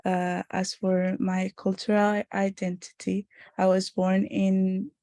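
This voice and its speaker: A woman's voice: mid-range voice, completely flat delivery, slow pace, dark tone, no room sound, clean studio sound. A young woman from Honduras giving a class presentation, hesitant, with fillers and small laughs. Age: young adult. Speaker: student presenter.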